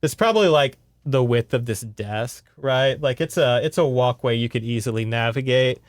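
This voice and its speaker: Smooth-voiced man, casual podcast storyteller. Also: mid-range voice, expressive, moderate pace, no echo, studio quality. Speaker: podcast co-host retelling a book. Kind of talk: casual conversational book recap.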